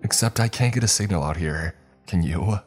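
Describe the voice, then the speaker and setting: A man voicing a steady, practical young woman who tries to calm others and takes charge. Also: low-pitched, moderate pace, faint music behind, pitched above the performer's own voice.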